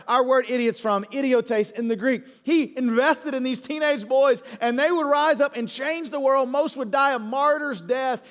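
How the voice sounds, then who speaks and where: A man in his forties preaching a sermon as a pastor, speaking emphatically and candidly and repeating phrases for effect. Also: extremely high pitch. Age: 40s. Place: large church auditorium. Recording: long hall reverb, music underneath. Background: music.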